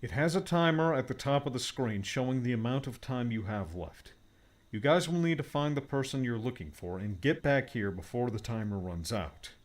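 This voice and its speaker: A man's voice: medium pitch, lively intonation, normal speed, voice pushed up, lightly acted. A man voicing an upbeat, reassuring male tech mentor who explains gadgets in a cheerful manner. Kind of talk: audiobook character voice.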